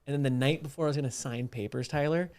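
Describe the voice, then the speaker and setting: A man's voice: mid-range voice, fast talker, darkish tone, smooth. A man hosting a podcast, telling stories casually in informal, slangy speech. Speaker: podcast host. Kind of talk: casual chat, animated storytelling.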